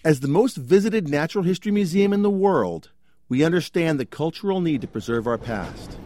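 A man's voice: on the high side, lively intonation, brisk, mellow, a little hiss or hum. A man reading scripted promotional narration as a spokesperson for a museum. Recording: music behind the voice. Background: music.

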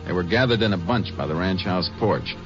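An actor playing a calm, kindly Texas Ranger who speaks and narrates in a measured, plainspoken way for a radio drama. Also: male voice, low-pitched, over music, close to an everyday voice. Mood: matter-of-fact. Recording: muffled 1950s radio broadcast.

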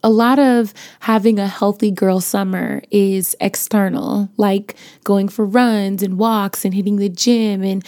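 A young adult woman hosting her podcast, chatty and playful, her voice raspy as it recovers from being lost, with features. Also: mid-range voice, fairly even intonation, measured pace, few pauses, a little mellow, no room sound, clean studio sound. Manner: chatty, playful, sprinkles in laughs and slang. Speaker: podcast host. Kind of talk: casual motivational podcast talk.

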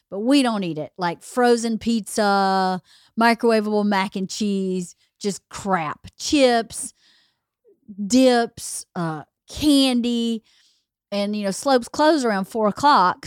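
A woman hosting a podcast in casual chat, her voice a little hoarse as she loses it, talking in a rambling, chatty way. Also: mid-range voice, no echo, studio quality. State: slightly hoarse, losing her voice. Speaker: podcast host.

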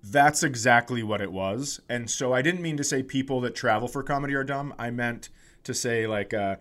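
A man's voice: medium pitch, normal speed, a bit bright. A middle-aged man chatting casually as a comedy podcast host, telling self-deprecating stories and acting out the exchanges he quotes.

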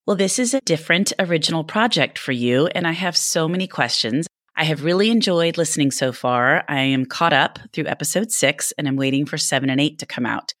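A woman's voice: sits low, fairly quick, hardly any pauses, a bit bright, no room sound, clean studio sound. A woman hosting a podcast, speaking in a presenter's interview and introduction style. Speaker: podcast host. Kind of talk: scripted intro and book interview.

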